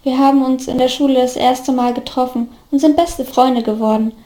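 A woman voicing a devoted, earnest young man in storybook dialogue, gently reassuring his best friend. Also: high-pitched, steady tone, normal speed, reverberant, lightly acted. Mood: caring, practical.

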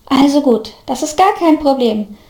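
Earnest young man acted by a woman, high soft voice, devoted, reassuring, storybook dialogue, roomy echo. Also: flat delivery, normal speed. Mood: reassuring, determined. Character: tearful, devoted young man, gently reassuring best friend.

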